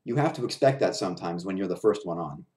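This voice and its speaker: A man's voice: low voice, animated, quick pace, darkish tone. A man voicing an upbeat, reassuring circus clown, breezy and emphatic, in a storybook reading. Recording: roomy echo of a bare room.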